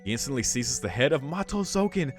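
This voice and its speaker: A man reading a visual novel's story aloud for a let's play, breaking into casual, excitable reactions with some swearing. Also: medium pitch, brisk. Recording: music behind the voice.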